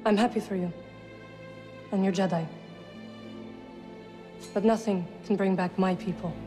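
A woman's voice: mid-range voice, steady tone, a little slow, lightly acted. A woman playing a proud, guarded young witch in a video game cutscene, speaking tersely and formally with solemn grief. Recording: music behind the voice.

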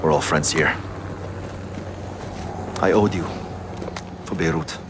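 An actor playing a calm, wry Russian army sergeant in a video game, with a Russian accent and measured delivery. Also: male voice, extremely low pitch. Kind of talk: video game cutscene acting. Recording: outdoor airfield ambience noise.

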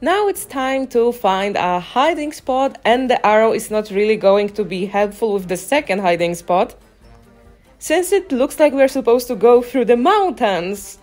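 A woman's voice: a little high, expressive, unhurried, a little mellow, soft background music. A woman giving casual walkthrough commentary as a gaming guide, speaking in voice-over. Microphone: voice-over on a microphone, game music behind.

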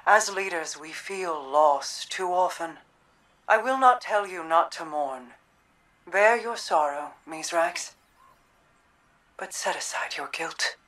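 A woman playing a regal, centuries-old queen in a video game, formal, measured and grave. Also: fairly low pitch, expressive, a bit bright, dry recording, full character voice. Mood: solemn consolation. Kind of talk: video game drama dialogue.

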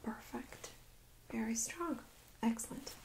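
A young woman speaking in a soft, breathy near-whisper, gently playing a medical examiner in an ASMR role-play. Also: on the high side, low-quality recording. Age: young adult. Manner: gentle, unhurried, reassuring. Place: small carpeted exam room, indoors. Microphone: USB microphone on a stand nearby.

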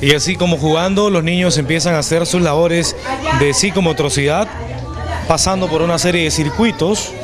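A man's voice: on the high side, over a noisy crowd. A man with a Peruvian accent reporting from a school event with a handheld mic, narrating and asking questions. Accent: Peruvian Spanish. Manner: brisk on-the-spot narration. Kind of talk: news reporting and interviews. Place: crowded school classroom indoors. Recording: music bed behind the voice.

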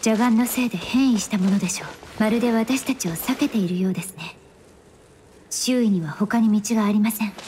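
An actress playing a calm, analytical young perfumer in a game, speaking politely and reflectively in measured phrases. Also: female voice, medium pitch, a little hiss or hum, close to an everyday voice. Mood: calm, observant. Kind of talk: Japanese game voice acting.

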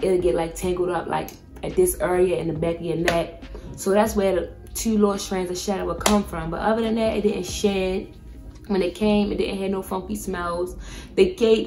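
A woman's voice: fairly low pitch, steady tone, moderate pace, over music. A young woman vlogger giving a casual, chatty product review, speaking candidly with plenty of slang and swearing. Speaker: beauty vlogger.

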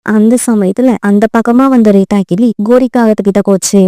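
A woman's voice: mid-range voice, steady tone, fairly quick, warm tone, clear, resonant tone, no echo, studio quality. A woman narrating a children's story from a script.

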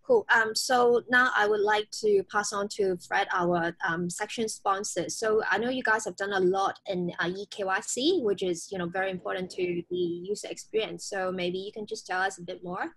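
A woman's voice: mid-range voice, lively intonation, moderate pace, few pauses, fairly bright tone. A young woman moderating a webinar panel over a video call, handing over to speakers with brief prompts. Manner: brief, friendly handovers. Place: indoor room.

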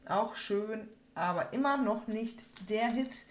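A woman's voice: on the high side, slow. A young adult woman chatting casually and informally while opening card packs as a host, rambling and often repeating her phrases. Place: small room at home, indoors. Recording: roomy echo, background noise, card crinkling.

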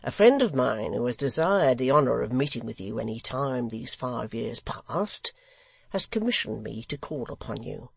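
A man's voice: medium pitch, lively intonation, normal speed, gravelly, slightly rough, dry recording. A man voicing a sly, mock-courteous blind extortioner in a theatrical, insistent storybook reading.

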